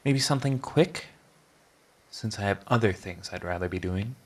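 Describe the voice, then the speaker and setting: Casting: male actor as prickly, begrudgingly charming villain for audio roleplay. Low register, sardonic, theatrical teasing. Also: close to an everyday voice. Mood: sarcastic annoyance. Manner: sardonic, theatrical teasing, drawn-out mocking delivery. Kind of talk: scripted ASMR roleplay audio drama.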